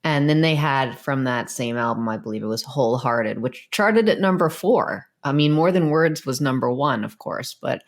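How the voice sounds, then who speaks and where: Low-voiced woman chatting. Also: moderate pace, few pauses. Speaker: music podcast co-host. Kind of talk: casual music discussion.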